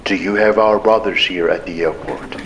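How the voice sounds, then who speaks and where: A man's voice: low voice, normal speed, a bit bright, slight background noise, poor audio. A man voicing a cold, menacing hijacker in an audiobook, speaking in a curt, threatening way. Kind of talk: audiobook character dialogue.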